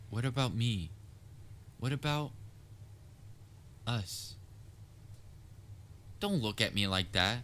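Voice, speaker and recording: A man playing a theatrical supervillain who is soft-hearted and anxiously fond of his heroic nemesis, in an earnest, halting roleplay delivery with wry banter. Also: on the low side, a little hiss or hum. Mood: hurt, earnest. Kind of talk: comfort roleplay audio acting addressed to listener.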